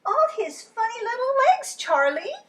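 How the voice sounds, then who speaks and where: A woman voicing a small, excitable little girl who is obsessed with her favourite book, reading in a loud, pleading, whiny way. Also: very high voice, moderate pace, far from the mic, voice pushed up. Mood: gushing.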